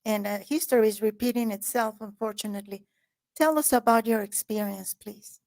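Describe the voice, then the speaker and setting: A woman's voice: mid-range voice, a little fast, creaky, a little mellow. A woman hosting a talk show who introduces her guest in an effusive, heartfelt way. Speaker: host.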